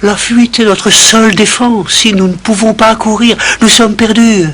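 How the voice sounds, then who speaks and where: A woman's voice: on the high side, level tone, measured pace, slight background noise. A woman voicing a tender, sorrowful giraffe mother in a French cartoon dub. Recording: loud music under the voice.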